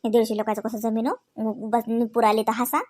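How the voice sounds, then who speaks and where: A woman plays a young housewife and mother in a domestic comedy cartoon. Her voice sits high, and she talks in a chatty, coaxing, practical way. Her Marathi is homely, with a Varhadi accent from Vidarbha. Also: level tone, normal speed, darkish tone, natural voice. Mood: worried.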